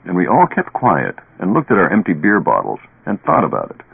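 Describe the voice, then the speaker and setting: An actor playing a streetwise, sardonic barroom storyteller in colloquial, dramatic radio-drama narration. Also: male voice, sits low, huge pitch swings, a little fast, vocal fry, breathy edge, phone-quality sound, heavily acted. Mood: somber. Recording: band-limited old radio recording, echoey.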